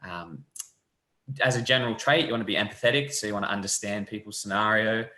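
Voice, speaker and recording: A young man, a physiotherapy lecturer explaining the course in a relaxed, friendly way over a video call. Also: fairly low pitch, fast. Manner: relaxed, friendly, loose fillers. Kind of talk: casual explanatory chat. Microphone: webcam on a video call. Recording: echoey room.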